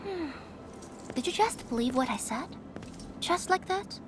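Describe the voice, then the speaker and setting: A woman's voice: very high voice, lively intonation, measured pace, background noise. A woman playing a brusque, exasperated game heroine who scolds while hiding her concern. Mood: stern. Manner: haughty, exasperated, sighing. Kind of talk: video game voice acting.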